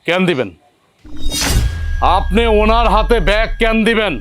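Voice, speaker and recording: A man's voice: high voice, a little slow, soft background music. A man playing an enraged young husband. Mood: outraged. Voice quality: shouting, indignant. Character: young husband furious at wife's insults. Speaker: actor in a family drama.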